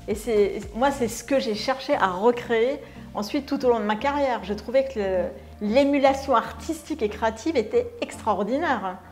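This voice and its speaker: Higher-voiced middle-aged woman co-hosting. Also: moderate pace, faint music behind. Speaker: co-host, artistic director. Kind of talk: conversational interview.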